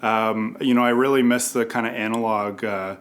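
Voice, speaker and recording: A man's voice: medium pitch, fairly even intonation, moderate pace, a bit bright, slight background noise. A man from a film crew giving a casual, unscripted interview testimonial.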